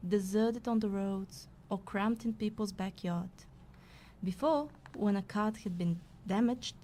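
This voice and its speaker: Mellow, wry woman acting as storyteller-scribe. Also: a little high, slight background noise, lightly acted. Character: wry, bickering female narrator-scribe trailing the hero. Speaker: narrator. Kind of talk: scripted fantasy storytelling.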